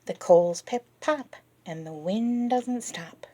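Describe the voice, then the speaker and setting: A woman reading a children's picture book aloud, talking directly to the kids and dropping to a soft whisper on the refrains. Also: medium pitch. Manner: slow, expressive, talks directly to children.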